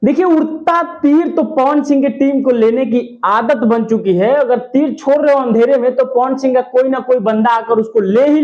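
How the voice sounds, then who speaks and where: A man's voice: very high-pitched, darkish tone. A man giving opinionated, emphatic gossip commentary to his viewers, often repeating his points for stress. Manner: emphatic, repetitive, partisan. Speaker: YouTube commentator. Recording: dull lo-fi audio.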